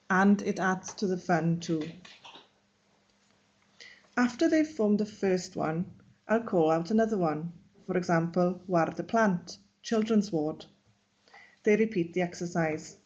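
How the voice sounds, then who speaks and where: A woman teacher reading out a webinar presentation in a measured, instructional way. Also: lowish voice, a little mellow, dull audio. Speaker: Welsh language teacher. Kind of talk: read-aloud webinar presentation.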